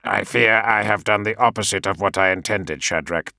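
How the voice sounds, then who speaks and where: A dryly courteous adviser who weighs every word and keeps a mock-sober tone, played by a male narrator. The sound is bright, close and dry, like an audiobook booth. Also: lowish voice, vocal fry, dry recording. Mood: careful. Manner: measured, mockingly sober, deliberate.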